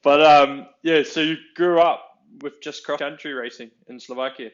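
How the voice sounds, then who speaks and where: A man interviewing someone in a casual chat, asking loose, halting questions that tend to trail off. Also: fairly high pitch, fairly even intonation. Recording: dull lo-fi audio.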